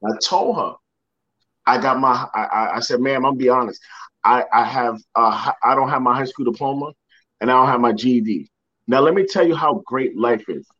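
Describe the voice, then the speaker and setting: A man's voice: medium pitch, brisk, a bit bright, muffled recording. A young man, a motivational speaker, telling a personal story in a podcast interview. He repeats words and acts out the people he quotes.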